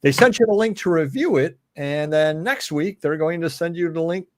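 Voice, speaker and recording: Chatty host with an upstate New York accent. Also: male voice, on the high side, moderate pace, dry recording. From Cleveland, Ohio. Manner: chatty, jokey, rambling with fillers. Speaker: host of a reselling show. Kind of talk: casual live-stream chat.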